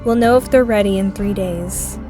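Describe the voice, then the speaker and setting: A woman's voice: mid-range voice, normal speed, music in the background, close to an everyday voice. A woman playing a caring doctor as a character in video game dialogue. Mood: relieved, businesslike. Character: caring female doctor running treatment experiments.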